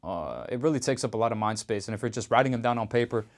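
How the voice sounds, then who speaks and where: A man's voice: medium pitch, expressive, quick pace. A young Dutch man speaking English with a Dutch accent, rambling in a casual, slangy vlog to camera. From Netherlands. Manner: rambling and laid-back, fillers, restarts, slangy. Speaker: vlogger.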